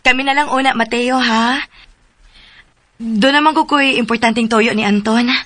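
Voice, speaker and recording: A woman's voice: fairly high pitch, steady tone, normal speed, fairly bright tone, no room sound, natural voice. An actress playing an anxious, pleading young woman in a radio drama, with a Cebuano accent. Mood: playful. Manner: emotional, pleading.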